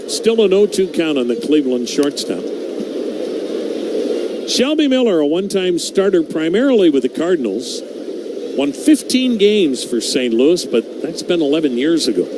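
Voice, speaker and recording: A man's voice: on the high side, very noisy. A man doing live baseball play-by-play commentary as a radio announcer.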